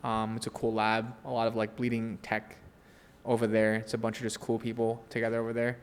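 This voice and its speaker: A man's voice: lowish voice, monotone, brisk, clear, resonant tone, big-room sound. A young man with an American accent, a podcast guest chatting casually in a laid-back, slangy, self-deprecating way. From Southern California. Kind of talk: casual chat.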